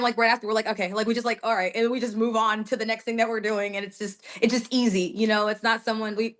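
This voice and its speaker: A woman's voice: medium pitch, a bit bright. A young woman from the United States, a guest in a casual interview, talking in a chatty way with lots of laughs and filler words. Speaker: guest wrestler.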